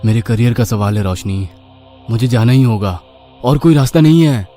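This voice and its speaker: A man voicing an anxious, determined horror writer with earnest, dramatic delivery in an animated story. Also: mid-range voice, normal speed, faint music behind, lightly acted.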